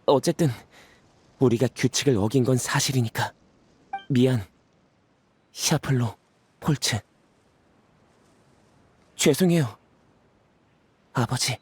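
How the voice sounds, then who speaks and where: Resigned young game character, husky, halting, mid-pitched, subdued, remorseful. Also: male voice, darkish tone, no room sound. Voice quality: husky, breathy. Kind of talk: dramatic game voice acting.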